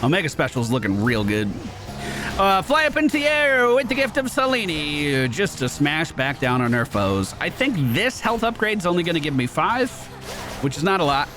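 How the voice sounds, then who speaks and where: Lively, higher-pitched man doing game commentary. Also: moderate pace. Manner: upbeat running narration of own play. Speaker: gaming video host. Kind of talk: gameplay commentary. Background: video game music.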